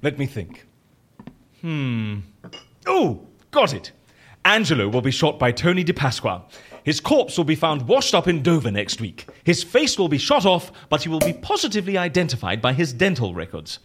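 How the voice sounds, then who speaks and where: An eccentric, fussy boss in an audio comedy. His voice sits fairly high and leaps wildly up and down. He is theatrical and wordy, full of exclamations. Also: sing-song, moderate pace, fairly bright tone, breathy edge, exaggerated voice. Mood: scheming. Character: eccentric, fussy male boss with a cocoa obsession. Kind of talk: audio comedy drama acting.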